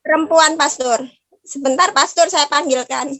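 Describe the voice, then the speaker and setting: A woman with a high voice answering a pastor's questions. She keeps her replies short and respectful. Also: moderate pace, a bit bright. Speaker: caller receiving prayer.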